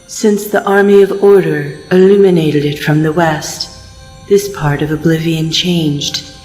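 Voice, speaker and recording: A man's voice: a little high, steady tone, measured pace, a little mellow, close to an everyday voice. Fantasy guide played by a man, solemn, echoey, faint music behind. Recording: echoing hall reverb, faint music.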